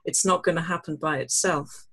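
An adult leading a classroom discussion, speaking in a measured, thoughtful way with frequent pauses. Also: medium pitch, sharp tone, husky. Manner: measured, thoughtful, many ums. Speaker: discussion leader.

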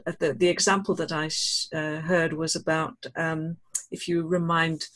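An adult leading a classroom discussion, speaking in a measured, thoughtful way with frequent pauses. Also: mid-range voice. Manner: measured, thoughtful, many ums. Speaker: discussion leader.

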